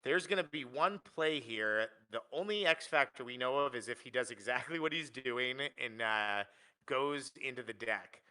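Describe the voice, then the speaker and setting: A man's voice: medium pitch, lively intonation, moderate pace, pushed and bright, clean tone, poor audio. A man giving live play-by-play commentary on a card game tournament, analytical and often restarting his phrases.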